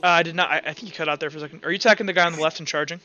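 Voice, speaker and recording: Male game master, higher-pitched and forceful, casual and sweary, slightly dull recording with music underneath. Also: fairly even intonation, very fast. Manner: casual, profane. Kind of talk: narrating and refereeing an online tabletop game.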